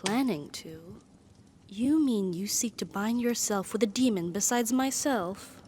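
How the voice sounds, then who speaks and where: A woman's voice: medium pitch, animated, a little slow, darkish tone, a little hiss or hum, close to an everyday voice. A woman voicing a powerful female demon bound as a devoted, possessive servant, speaking formally and breathily in an ASMR roleplay. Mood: uneasy, confused. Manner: formal, stately, breathy sighs and whispers.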